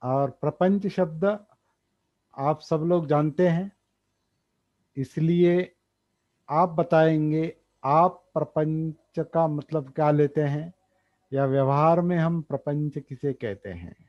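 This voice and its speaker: A man gives a dharma talk, thinking aloud, pausing often and repeating key words. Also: on the high side. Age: 60s. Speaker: meditation teacher. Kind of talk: slow explanatory dharma talk. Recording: dull lo-fi recording.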